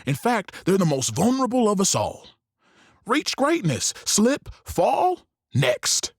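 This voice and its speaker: An actor playing a world-weary, goading saloon owner in a western audio drama, with a folksy, speechifying manner. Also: male voice, sits high, huge pitch swings, takes it slowly, dry recording, studio quality, exaggerated voice. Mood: bitter, defiant.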